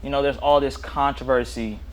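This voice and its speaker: A man's voice: medium pitch, fairly even intonation, normal speed, rough recording. A man hosts a casual interview podcast with a laid-back, easygoing delivery. He tends to repeat his phrases as he reacts and asks questions. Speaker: podcast host.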